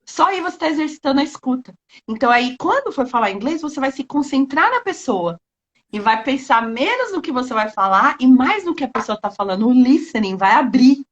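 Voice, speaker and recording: An animated woman teacher hands out advice in quick bursts. Her voice is very high and swoops around a lot. The dull, lo-fi sound of a live video call comes through clearly. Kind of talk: casual live-stream chat.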